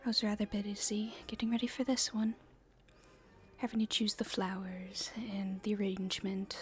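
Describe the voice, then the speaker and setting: A woman playing a nervous, polite young princess in an audio roleplay, speaking in a genteel, breathy way. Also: mid-range voice, little pitch movement, normal speed, natural voice. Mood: gracious. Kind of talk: roleplay ASMR acting.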